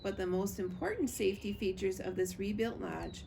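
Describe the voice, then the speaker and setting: A woman's voice: medium pitch, steady tone, moderate pace. A woman reading a children's story aloud as a librarian would, recorded on a screened porch. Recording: clear background noise of outdoor porch.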